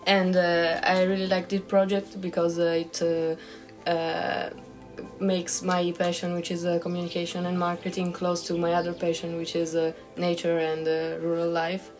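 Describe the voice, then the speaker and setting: A woman's voice: lowish voice, monotone, normal speed, a little mellow, soft background music. A young woman from Italy, a master's student who speaks hesitantly with many "uh"s. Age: young adult. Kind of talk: casual self-introduction.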